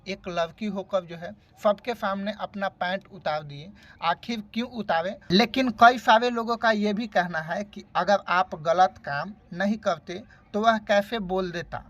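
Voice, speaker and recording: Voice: medium pitch, a little slow, fairly bright tone, dry recording. Insistent interviewer with Bhojpuri-tinged Hindi, sex unclear. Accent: Bhojpuri-influenced Hindi. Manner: insistent, accusatory, repetitive. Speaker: interviewer for an online news channel. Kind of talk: probing call interview, pressing questions.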